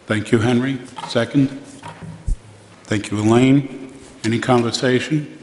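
A middle-aged man speaking formally and deliberately as he chairs a board meeting. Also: medium pitch, steady tone, a little mellow. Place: large indoor council chamber. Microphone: gooseneck microphone on dais. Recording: reverberant meeting hall, faint noise.

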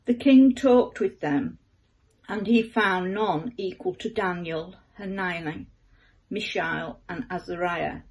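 An older woman, around her seventies, reading aloud from the Bible in a measured manner at home. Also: fairly low pitch, a little mellow. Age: older, about 70s. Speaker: scripture reader. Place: living room at home.

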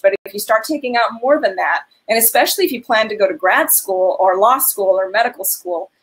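A woman's voice: mid-range voice, rough recording. A woman in her thirties on a video call, giving earnest, direct advice as a financial aid advisor in a webinar.

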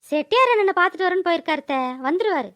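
A woman voicing a gentle, earnest young daughter-in-law in a cartoon. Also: extremely high pitch, expressive, very fast, dry recording. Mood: caring. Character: dutiful, soft-hearted young daughter-in-law. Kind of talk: cartoon voice-over dialogue.

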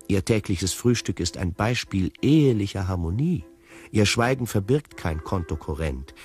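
An actor playing an urbane storyteller who confides in the listener in a radio drama, measured and literary. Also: male voice, lowish voice, a little mellow. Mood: apologetic, composed.

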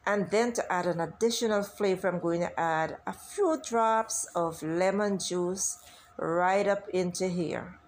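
A woman's voice: fairly low pitch, measured pace, slight background noise. A woman hosting a cooking channel, talking viewers through a recipe step by step in a chatty, instructive way. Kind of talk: casual cooking tutorial voice-over.

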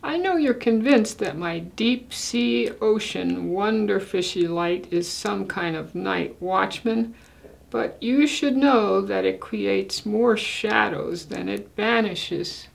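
A woman's voice: mid-range voice, takes it slowly, vocal fry. An older woman voicing a bossy, precocious little girl from a storybook who persuades her daddy in a pompous, mock-reasonable way. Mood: earnest.

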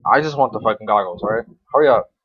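A man's voice: lowish voice, lively intonation, quick pace, husky, no room sound. A young man giving casual, unscripted game commentary, rambling to himself in slang with some swearing. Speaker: gaming video creator. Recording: dull, lo-fi microphone.

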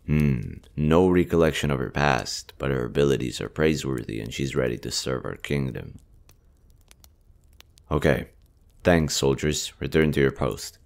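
A man acting a kind, earnest young army general in a romantic audio roleplay, hushed, halting and emotive. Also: rumbling low, vocal fry. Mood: composed authority.

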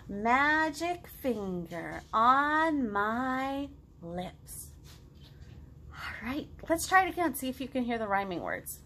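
Animated, bright-voiced woman leading storytime. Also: high-pitched, takes it slowly, slight background noise. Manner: sing-song, expressive, exaggerated delivery for small children. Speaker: children's librarian, storytime host. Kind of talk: children's storytime, reading aloud and chatting to young kids. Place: living room at home, indoors.

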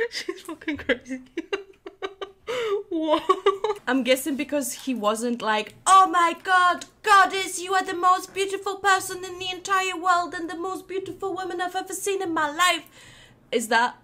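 A woman's voice: very high voice, a little slow, pushed and bright. A young woman co-hosting a reaction video from home, chatting casually with lots of likes and frequent laughs. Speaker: co-host. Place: home room with bookshelves.

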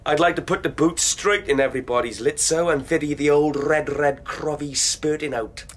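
A man's voice: fairly high pitch, moderate pace, natural voice. Man playing a cocky, savage young delinquent. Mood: gleeful menace. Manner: insolent, theatrical slang-laden talk. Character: cocky, violent young male delinquent narrator, gleefully savage. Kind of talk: radio drama acting.

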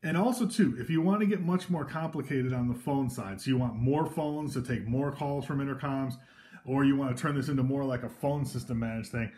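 A man's voice: mid-range voice, fairly quick, a little mellow. Casual man demoing gear off camera. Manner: casual, loose, with frequent fillers and restarts. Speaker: equipment demonstrator. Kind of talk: informal product demo. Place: indoors at a table of devices.

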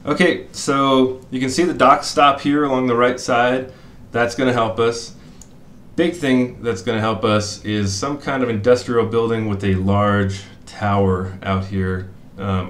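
A man's voice: fairly low pitch, fairly even intonation, measured pace, echoey room, slight background noise. A middle-aged man walking viewers through a screen share from a desk in a small room, thinking aloud with lots of "um" and "uh". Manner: explanatory, thinking aloud with fillers. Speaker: fishing spot analyst.

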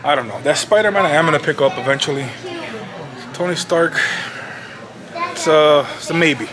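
A man with a Cuban accent and a slightly high voice talks casually into a handheld camera, joking and muttering asides. He is in a large toy store with people chatting around him. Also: sing-song, normal speed. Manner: laid-back, joking, mumbles asides. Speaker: toy-collecting vlogger. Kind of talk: casual vlog chat. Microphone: handheld camera held close. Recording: busy store chatter behind.

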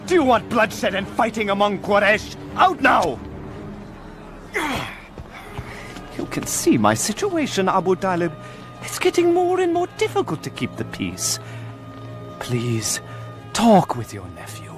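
Scheming Arabian chief, animated film, high swooping voice, forceful, theatrical. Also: male voice, a little slow, music in the background, heavily acted. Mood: stern, exasperated.

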